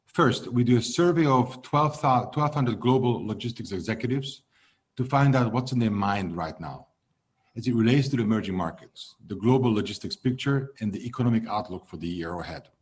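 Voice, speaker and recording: A man in his fifties, born in Hungary, answering interview questions as a logistics executive in a measured way that trails off at the ends of phrases. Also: medium pitch, lively intonation.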